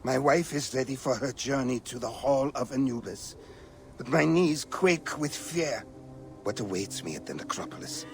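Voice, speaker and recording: Man playing grieving, fearful widower, mid-pitched. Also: animated, normal speed, natural voice. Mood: fearful grief. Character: fearful, grieving old widower, humbly grateful. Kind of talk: dramatic video game acting. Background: music.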